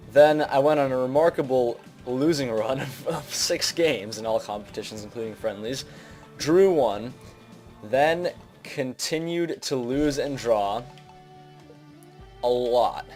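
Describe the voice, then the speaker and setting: A man's voice: mid-range voice, a little mellow. Casual young man commentating over faint music. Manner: informal, hesitant, self-deprecating. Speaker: gaming video host. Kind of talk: casual unscripted game commentary.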